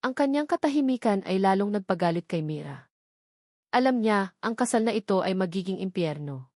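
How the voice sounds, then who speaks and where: A woman's voice: medium pitch, lively intonation, moderate pace, a little mellow, dry recording, clean studio sound. A woman reading scripted, dramatic love-story narration as a storyteller.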